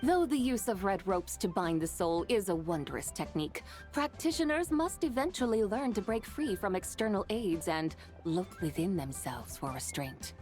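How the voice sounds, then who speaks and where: Casting: woman as serene mystical game sage, mid-pitched but richly varied intonation, formal courtly diction, music behind. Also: lively intonation, darkish tone, close to an everyday voice. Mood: solemn, knowing. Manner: formal, archaic, measured phrasing. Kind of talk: video game character acting.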